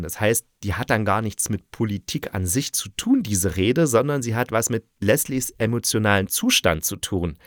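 A man's voice: mid-range voice, a bit bright, no room sound, studio quality. A middle-aged man giving a casual, unscripted review in a chatty, opinionated way. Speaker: radio play critic and podcast host.

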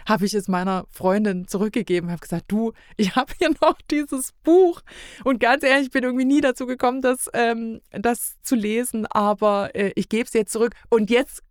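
A woman's voice: fairly high pitch, steady tone, normal speed, clear, resonant tone, no room sound. Chatty woman in a dry recording. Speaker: podcast guest. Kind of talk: casual chat, telling anecdotes.

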